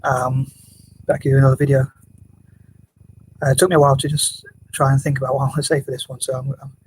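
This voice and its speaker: A quiet-voiced man rambles on in a flat, unchanging monotone, words tumbling out quickly between hesitations and restarts. He often drops to a near whisper. A faint hum of room ambience sits behind him. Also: medium pitch, low-quality recording. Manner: hesitant, mumbling, many restarts and fillers. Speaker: vlogger. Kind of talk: rambling casual vlog monologue. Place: home room, building work next door.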